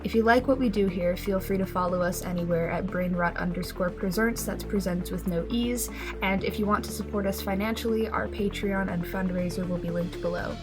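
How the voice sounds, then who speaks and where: A woman's voice: medium pitch, fairly even intonation, brisk, music in the background. A woman announcer giving a scripted credits read for an audio show.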